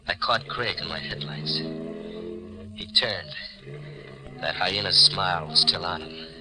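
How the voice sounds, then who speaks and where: A man's voice: lowish voice, lightly acted. An actor in a 1940s radio thriller playing an anxious hardboiled dupe who narrates his own downfall. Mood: vengeful narration. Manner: tense, dramatic, hardboiled. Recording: noisy old 1940s radio recording.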